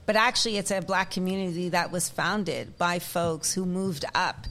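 A woman's voice: lowish voice, normal speed, fairly bright tone, a little hiss or hum. A woman co-hosting a radio show, telling history in an enthusiastic, storytelling way. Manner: storytelling, enthusiastic, sharing facts. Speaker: radio co-host. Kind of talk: casual radio chat.

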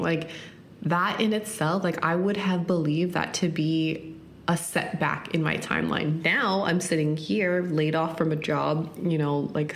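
A woman in her thirties hosting a solo podcast, talking earnestly and reflectively with many "like" fillers. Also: lowish voice. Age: 30s. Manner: earnest, frequent "like" fillers, speeds up and slows for emphasis. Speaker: podcast host.

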